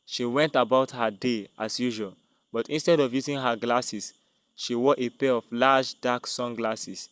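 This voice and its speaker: A man's voice: medium pitch, steady tone, measured pace, a bit bright, clear, resonant tone, no echo. A man narrating a dramatic scripted tale as a storyteller.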